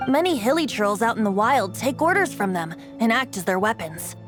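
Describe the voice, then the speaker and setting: A woman's voice: on the high side, big pitch swings, moderate pace. A woman voicing a playful, secretive young male bard in a video game cutscene, talking in a lilting, teasing way. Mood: solemn. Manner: lilting, teasing, then soft and solemn. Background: game soundtrack music.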